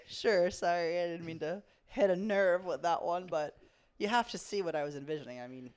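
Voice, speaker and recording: A woman's voice: lowish voice, brisk, darkish tone, clear, resonant tone. A woman co-hosting a podcast with casual, dry, teasing banter. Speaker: podcast co-host, camp director. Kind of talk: casual chat.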